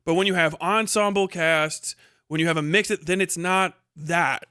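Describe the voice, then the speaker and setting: A man with a fairly high, crisp voice talks off the cuff on a livestream. The sound is bright and very dry. He explains things casually and haltingly, swearing freely. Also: fairly even intonation, no room sound. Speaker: live streamer reacting to a video.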